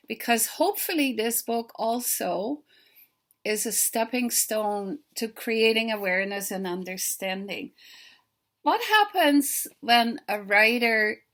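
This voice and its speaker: An older woman from the Netherlands with a Dutch accent, a children's book author giving an earnest, unhurried video talk to a festival. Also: on the high side, gravelly. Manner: warm, earnest storytelling, slow and deliberate. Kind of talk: recorded video greeting to a festival.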